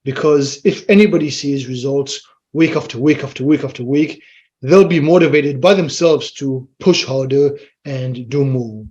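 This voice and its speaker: A man's voice: mid-range voice, moderate pace, a little mellow. A man with an Israeli accent, a fitness trainer chatting easily as a podcast guest and explaining things plainly. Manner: easygoing, explains plainly, quotes himself with "I'm like". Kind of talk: casual interview chat. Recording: slightly muffled lo-fi audio.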